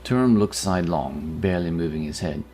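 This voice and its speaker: A man's voice: deep voice, brisk, dark tone. A man reading scripted narration for a fantasy audio story. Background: background music.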